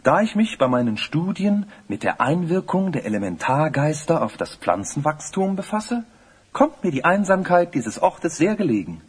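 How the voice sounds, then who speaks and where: A man playing a polite, self-important young student with a husky voice and stilted courtesy in a fantasy audio play. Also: fairly high pitch, big pitch swings, moderate pace. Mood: earnest, scholarly. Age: young adult.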